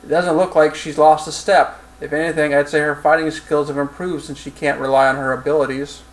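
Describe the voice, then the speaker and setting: A man's voice: on the high side, flat delivery, brisk, close to an everyday voice, low-quality recording. Audiobook role: tough American woman admiral, read by a man, formal and dry. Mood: measured. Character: tough, stubborn female American Marine admiral.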